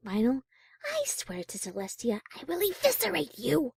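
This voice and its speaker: A woman acting a haughty, easily exasperated high-class pony in a fan audio drama, prim and wordy. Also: huge pitch swings, moderate pace, vocal fry, slightly rough, dry recording, studio quality, heavily acted. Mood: irritated hissing.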